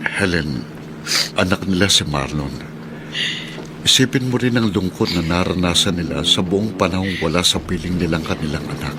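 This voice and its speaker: A humble working-class father played by a man in a Filipino radio drama. His voice sits very low, and he speaks earnestly and respectfully, with tender hesitation. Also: moderate pace, background noise, lightly acted. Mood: gentle, reasoning.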